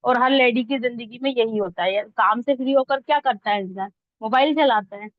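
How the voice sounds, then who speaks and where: High-voiced woman teaching, dull lo-fi audio. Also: fairly quick, no room sound. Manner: conversational, checks that listeners follow. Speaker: instructor teaching reel editing online. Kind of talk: informal step-by-step tutorial talk. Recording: dull lo-fi close dry audio.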